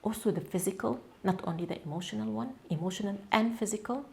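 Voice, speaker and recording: A woman whose first language is Arabic, speaking English as an interview guest in an earnest, halting way with frequent restarts. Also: medium pitch, gravelly, warm tone, slight background noise. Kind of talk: conversational interview.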